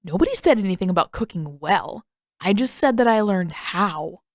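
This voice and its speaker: A woman's voice: mid-range voice, animated, moderate pace, dry recording, clean studio sound. A woman voicing an indignant, boastful and defensive teenage boy in an audiobook, protesting with emphasis. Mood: proud, defensive. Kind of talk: audiobook character voice.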